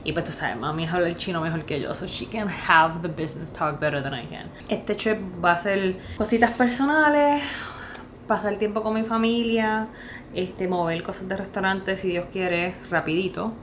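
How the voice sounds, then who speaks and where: A woman's voice: fairly low pitch, moderate pace, background noise. Chatty Puerto Rican woman vlogger. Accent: Puerto Rican Spanish. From Puerto Rico. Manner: chatty, expressive, switches into English mid-sentence. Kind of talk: casual vlog, Spanish mixed with English. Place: indoors at home and in a car. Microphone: camera at arm's length and dashboard camera. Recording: music bed under the voice.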